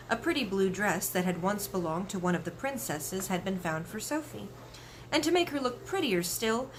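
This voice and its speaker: A woman reading a children's book aloud as an expressive storyteller. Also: mid-range voice, a little hiss or hum, rough recording. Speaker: story reader.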